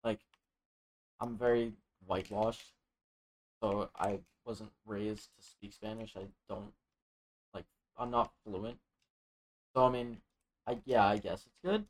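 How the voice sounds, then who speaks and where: A young man of Venezuelan family co-hosting a podcast, telling a story in a relaxed way with fillers. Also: on the low side, slow, pauses often, rough recording. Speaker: podcast co-host. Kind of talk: casual chat.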